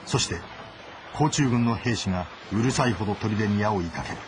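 A man's voice: lowish voice, normal speed, noisy background. A man delivering dramatic scripted narration of a story as its narrator. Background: loud music.

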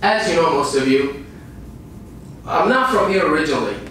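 High-voiced man lecturing in an echoey room. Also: lively intonation, a bit bright, a little hiss or hum. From Iraq. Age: 30s. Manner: earnest, repeats lines for emphasis, speaks with pauses. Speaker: motivational speaker. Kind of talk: live seminar talk. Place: dim small room with a projector screen and a small audience. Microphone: far camera, no visible mic. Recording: echoey room recorded from far off.

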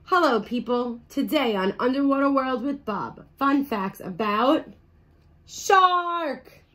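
Cheerful little squid presenting fun facts on an undersea show, as read aloud by a woman; slow and light. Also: sits high, expressive, pushed and bright, exaggerated voice. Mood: cheery. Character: chirpy little squid TV host. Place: bedroom.

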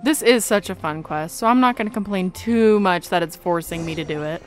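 A woman's voice: on the low side, brisk. A young woman giving casual commentary as she plays a game. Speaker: gaming YouTuber. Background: game music.

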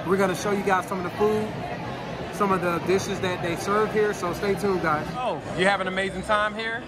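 A man in his thirties vlogging about food, talking into a phone at arm's length with plenty of excited exclamations. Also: high-pitched, normal speed. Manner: enthusiastic, exclaims wow often. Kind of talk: casual vlog. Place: busy indoor restaurant. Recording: loud restaurant crowd and music behind.

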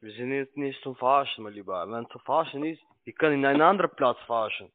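Over a phone line, a man who is not a native speaker makes a pushy, halting sales call in broken grammar. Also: medium pitch. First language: not German. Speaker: cold-calling telemarketer. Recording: narrow tinny phone line.

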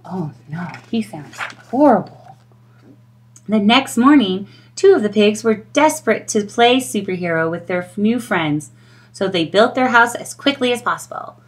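A middle-aged woman reading a children's storybook aloud in an expressive storytelling style. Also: medium pitch, moderate pace.

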